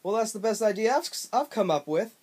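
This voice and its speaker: A man's voice: high voice, animated, a little fast, darkish tone, pitched above the performer's own voice. A man voicing an obnoxious, boastful male cartoon bobcat, a washed-up video game mascot with a grating voice. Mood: smug. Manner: boastful and chatty.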